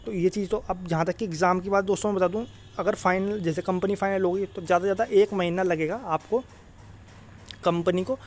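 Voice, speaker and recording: A young man explains things informally to students as an exam coach, repeating himself, checking in with tag phrases and mixing in English words. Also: high-pitched.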